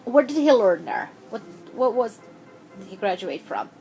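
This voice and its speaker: A woman interviewing a child, asking gentle, patient questions with frequent hesitations. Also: on the high side, lively intonation, a little slow, soft background music, low-quality recording.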